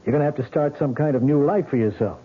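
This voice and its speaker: An actor playing a blunt, insistent police lieutenant in a radio drama, questioning in a pressing, matter-of-fact way. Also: male voice, fairly high pitch, fast talker, a little hiss or hum, lightly acted. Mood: firm, insistent. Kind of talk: 1970s radio drama acting. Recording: tinny narrow-band old radio recording.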